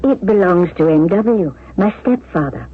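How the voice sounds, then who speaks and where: Chatty genteel widow, old radio play, voice swings around, husky edge, thin muffled sound. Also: female voice, medium pitch, expressive, measured pace. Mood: curious, puzzled. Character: genteel, chatty, curious widowed woman customer. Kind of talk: 1970s radio drama acting.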